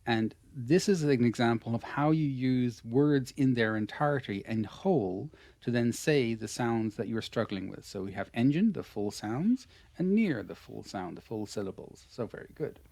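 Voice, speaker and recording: A man's voice: mid-range voice, lively intonation, moderate pace, gravelly, hardly any pauses, a little mellow, no room sound. Irish guy teaching pronunciation online, patient, lots of "very good", dry close sound. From Ireland. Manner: patient, encouraging, repeats praise.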